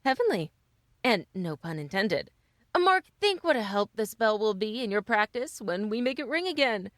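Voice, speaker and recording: A woman's voice: mid-range voice, sing-song, normal speed, no echo, studio quality, natural voice. A woman playing a cheerful, eager middle-aged wife in an old-time radio style drama. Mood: teasing.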